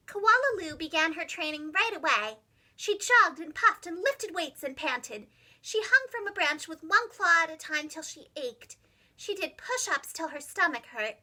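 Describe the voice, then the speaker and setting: A woman reading a children's storybook aloud like a preschool teacher, expressive and dramatic, speaking carefully for young listeners. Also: very high voice, a little slow. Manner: animated, dramatic, gentle enunciation for young children.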